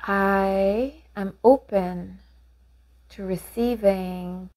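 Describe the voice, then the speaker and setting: Female meditation guide, mid-pitched voice, very slow calm delivery, gently instructive, generous pauses.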